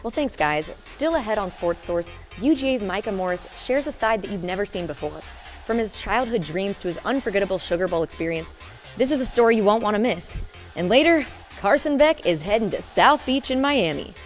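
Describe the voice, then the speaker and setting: A young woman anchors a student sports program, reading scripted links with a polished, upbeat delivery. Soft music plays faintly behind her. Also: medium pitch, lively intonation, brisk. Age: young adult. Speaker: news anchor.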